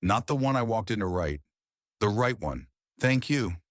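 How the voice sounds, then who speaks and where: A man's voice: lowish voice, expressive, moderate pace, no room sound, clean studio sound, voice pushed up, close to an everyday voice. A man voicing a formal, direct female journalist in her forties in a narrated story. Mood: quietly satisfied. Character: probing, composed forty-something female journalist. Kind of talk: audiobook-style story dialogue.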